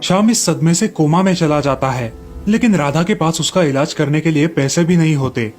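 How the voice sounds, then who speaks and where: A man's voice: fairly high pitch, normal speed, faint music behind. A man reading scripted narration for children's moral stories as the storyteller.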